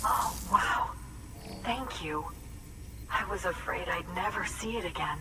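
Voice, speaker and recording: An actress playing an earnest, grieving woman researcher in a video game cutscene, speaking in a halting, heartfelt way. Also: fairly low pitch, far from the mic, heavy background noise, lightly acted. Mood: relieved, moved. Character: earnest, grieving woman researcher carrying on her late father's work.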